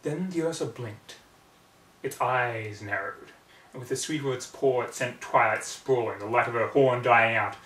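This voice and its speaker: A man reading a story aloud as a narrator, with theatrical, expressive delivery and halting pauses. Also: mid-range voice. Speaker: reader of fan fiction. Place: echoey room, away from the mic. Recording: roomy, distant mic, background noise.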